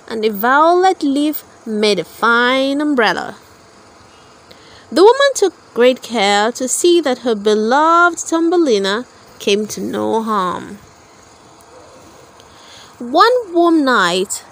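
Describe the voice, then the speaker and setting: A woman narrating a children's storybook aloud for very young listeners. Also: high-pitched.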